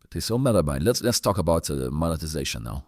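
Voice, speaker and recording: Male host, very low voice in a dry recording, stop-start and repetitive delivery. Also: expressive, creaky, darkish tone, no room sound. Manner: halting, repetitive, insistent. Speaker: podcast host. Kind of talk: casual interview chat.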